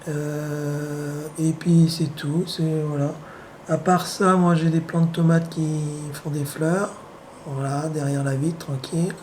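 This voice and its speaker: Hoarse man with the flu, sardonic. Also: on the high side, level tone, takes it slowly, darkish tone, a little hiss or hum. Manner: sardonic, wry, full of hesitations. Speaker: vlogger. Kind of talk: rambling casual monologue.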